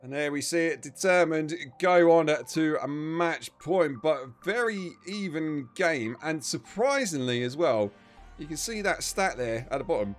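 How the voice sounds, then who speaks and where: A man calls a live match in chatty play-by-play, cracking small jokes at his own expense and jumping up when a goal lands. His voice sits a touch high and is wearing hoarse from talking so much. Game music runs clearly under him. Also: measured pace. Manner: chatty, self-deprecating asides, bursts of excitement on big plays. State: starting to lose his voice. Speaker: esports commentator.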